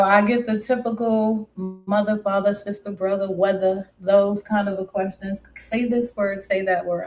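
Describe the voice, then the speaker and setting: A woman's voice: mid-range voice, a little mellow. Casting: woman live streamer, mixed New York and West Indian accent, chatty and friendly, mid-range voice. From Brooklyn, New York. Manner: chatty, greets viewers by pet names. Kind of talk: casual live stream chat.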